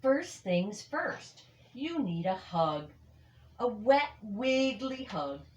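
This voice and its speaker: A woman's voice: a little high, big pitch swings, extremely slow, muffled recording, voice pushed up, full character voice. A woman voicing a kind young female bear cub in a storybook, speaking in an emphatic singsong in a roomy space. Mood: comforting, cheerful.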